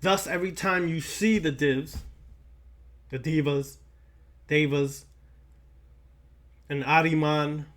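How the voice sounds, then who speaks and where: A man's voice: on the high side, steady tone, slow pace, pauses often, a bit bright. A man giving a spiritual lecture straight to his listeners, emphatic and repeating phrases for weight. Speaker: occult lecturer.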